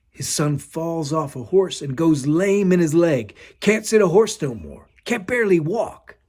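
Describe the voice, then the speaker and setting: A man's voice: fairly high pitch, darkish tone. A man voicing Sue, a folksy young male soldier telling a parable in a drawling way.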